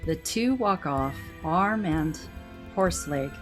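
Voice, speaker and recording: Woman narrator for a radio play, lowish voice, music going behind her. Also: animated, slow pace.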